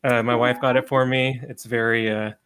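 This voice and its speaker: A man hosting a casual livestream over a video call, relaxed and chatty, with frequent ums and laughs. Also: mid-range voice, normal speed, smooth. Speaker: livestream host and sports writer.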